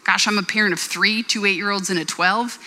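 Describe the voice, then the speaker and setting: A woman in her forties gives an earnest, conversational sermon, mixing in personal stories. Her voice is bright and clear. It rings with the long reverb of a church hall. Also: medium pitch, steady tone, brisk. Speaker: pastor.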